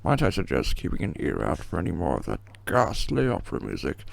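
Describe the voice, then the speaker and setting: A man's voice: a bass voice, wildly swooping pitch, brisk, vocal fry, dry recording, full character voice. A man playing an older butler in a video game fan dub, dryly polite and proper, with a formal, droll, understated way of talking. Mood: dry, wry.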